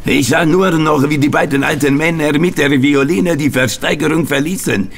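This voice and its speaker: A man's voice: on the high side, normal speed, gravelly. An actor playing a hot-tempered Italian man confessing a failed mission in an audio drama. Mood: agitated confession. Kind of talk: German radio play acting.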